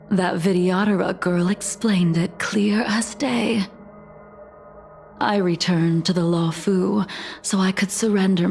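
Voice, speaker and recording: A woman voicing a calm, world-weary swordswoman in a video game, speaking in a measured, solemn, breathy way. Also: mid-range voice.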